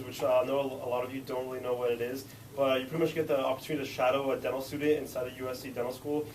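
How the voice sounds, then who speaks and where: A young man with a congested voice, making rambling club announcements full of fillers to a student meeting. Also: medium pitch. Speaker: club officer in charge of rotations. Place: classroom meeting. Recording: distant mic in a noisy room.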